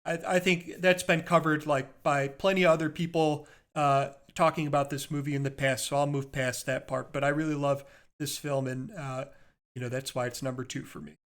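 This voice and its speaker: Male podcast co-host, slightly high voice, conversational, enthusiastic, wandering and self-correcting. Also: flat delivery, moderate pace, a bit bright. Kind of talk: casual chat about favorite movies.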